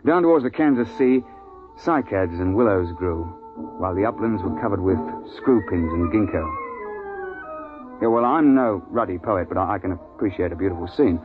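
Deep-voiced, gruff safari guide. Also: male voice, animated. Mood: matter-of-fact storytelling. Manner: brisk, colloquial, authoritative. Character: gruff, no-nonsense British big-game safari guide recounting his hunt. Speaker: narrator and lead character. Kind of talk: 1950s radio drama acting and narration. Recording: tinny 1950s radio broadcast, music behind.

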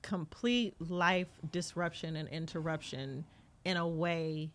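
Lively, encouraging woman podcast host. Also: low voice, takes it slowly. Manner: lively, encouraging, laughs often. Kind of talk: casual conversation.

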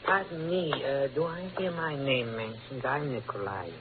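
A man playing an oily blackmailer, soft-spoken and fawning with polite menace, in 1940s radio style. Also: medium pitch, moderate pace, a crowd in the background, lightly acted. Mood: meek, insinuating. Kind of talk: 1940s radio villain acting. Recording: tinny, hissy old radio recording.

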